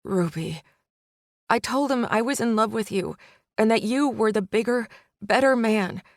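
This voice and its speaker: A woman's voice: fairly high pitch, a little slow, dry recording, studio quality. A woman voicing a heartbroken, torn young man in an audiobook, his speech anguished and pleading. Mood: hushed. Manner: anguished pleading, breaking between hush and outburst.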